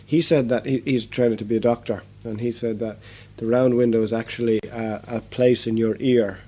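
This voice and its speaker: A man's voice: mid-range voice, steady tone, a little fast, dark tone, breathy edge. A man, a guest musician, chatting casually in an interview, rambling with many fillers and restarts. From Ireland.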